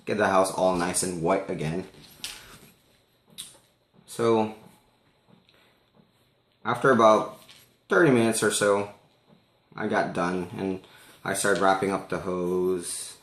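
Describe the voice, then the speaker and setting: A man casually telling a personal story in an animated way, as if acting out the conversation for listeners. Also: low voice, takes it slowly, long pauses, reverberant. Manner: animated, acts out dialogue.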